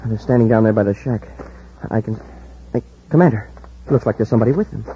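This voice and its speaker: An actor playing an earnest, excitable young space cadet sidekick in a 1950s radio drama. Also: male voice, lowish voice, fast, natural voice. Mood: surprised, eager. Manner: earnest, excitable, folksy exclamations. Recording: muffled, noisy old radio recording.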